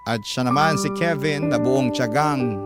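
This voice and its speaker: Loud music underneath, mid-pitched man, Filipino accent, dramatic radio storytelling, scripted first-person narration. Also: measured pace.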